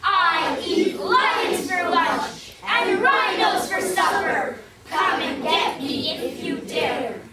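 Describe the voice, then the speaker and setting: A woman voicing a boastful unseen monster in a cave, shouting a slow chanted rhyme, recorded from a distance in an echoey room. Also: very high voice, a faint crowd, a little hiss or hum, heavily acted. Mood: booming, chanting.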